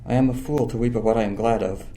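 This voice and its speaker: A student actor playing a bashful, innocent and devoted young lover in a Shakespearean radio play. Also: male voice, medium pitch, steady tone, fast, warm tone, close to an everyday voice, poor audio. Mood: tender, earnest. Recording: faint noise, ambient sound effects.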